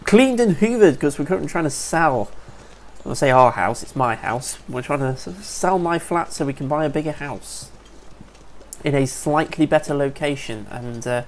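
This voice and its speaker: A man's voice: a little high, normal speed, slight background noise. A man giving casual, upbeat commentary over a survival game, a bit sniffly from allergies and chatting to viewers as folks. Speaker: let's play gamer.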